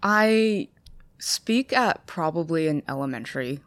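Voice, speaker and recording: A young woman with an American accent, born in China, talking casually and thoughtfully as a podcast guest, with lots of fillers and pauses. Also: sits low, expressive, no echo. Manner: hesitant, filler-heavy, thoughtful. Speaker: guest, strategy staffer.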